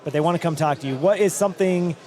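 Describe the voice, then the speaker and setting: A man hosting a hallway interview at a conference, joking, laughing and asking quick follow-up questions. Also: on the high side, darkish tone. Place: outdoors at a conference venue.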